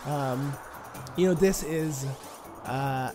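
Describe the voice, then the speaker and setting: A man's voice: on the high side, very slow, over music. Chatty nasal-sounding man narrating gameplay. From United States. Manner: chatty, excitable, rambling with fillers. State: nasal, stuffy voice. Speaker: let's play host. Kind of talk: casual gameplay commentary.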